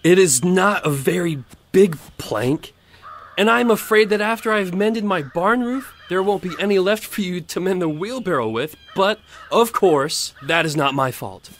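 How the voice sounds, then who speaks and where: An actor playing a pompous, self-righteous, grasping miller in an audio storybook drama, preachy in manner. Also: male voice, sits high, animated, unhurried, fairly bright tone, slight background noise. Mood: smug, demanding. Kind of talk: audio theatre storybook acting.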